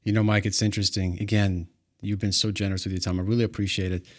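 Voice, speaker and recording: Low-voiced animated male host, a native Russian speaker. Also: fast talker. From Ukraine. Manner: animated storyteller, restarts and stumbles. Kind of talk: storytelling interview chat.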